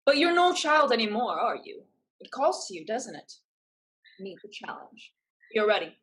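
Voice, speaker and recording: A woman's voice: fairly high pitch, very animated, normal speed, fairly bright tone. A woman acting in a stage play reading as a proud, streetwise young woman who is protective of her sister. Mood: encouraging, firm.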